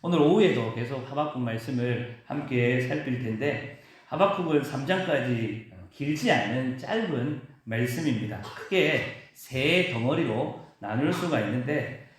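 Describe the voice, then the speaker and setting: Korean pastor preaching in an echoey church. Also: male voice, mid-range voice, animated. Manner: preaching with emphatic rises and drawn-out stresses. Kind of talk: sermon. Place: church sanctuary.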